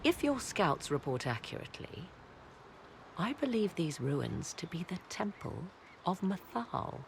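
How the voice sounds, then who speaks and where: A woman's voice: on the low side, sing-song, measured pace, gravelly, slight background noise, exaggerated voice. A woman voicing an aloof female mage who talks in formal, old-fashioned phrasing with a haughty edge. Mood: cool. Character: aloof, sharp-tongued female witch and mage. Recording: game cutscene with faint score.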